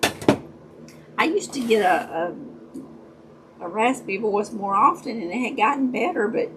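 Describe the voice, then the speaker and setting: A woman with a raspy voice, chatting casually with her viewers during a crafting livestream and greeting them by name. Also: medium pitch, animated, measured pace, noisy background. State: raspy voice, dry throat. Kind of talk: casual chat while crafting.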